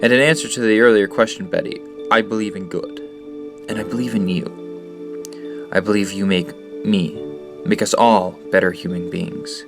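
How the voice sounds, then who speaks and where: Radio drama lead: male actor as earnest, burdened young man, lowish voice, soft music underneath. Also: steady tone, normal speed, natural voice. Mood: tender. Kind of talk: audio drama acting. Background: soft new-age music underneath.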